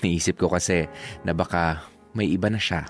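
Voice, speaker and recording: A low-voiced Filipino man, an actor narrates a scripted first-person love story over soft music. He sounds like a reflective, sorrowful boyfriend looking back on a failing romance. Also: fairly even intonation, moderate pace, lightly acted. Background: background music.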